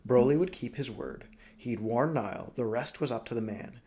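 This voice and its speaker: A man narrating a story as an audiobook reader, expressive and dramatic, phrasing deliberately. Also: medium pitch.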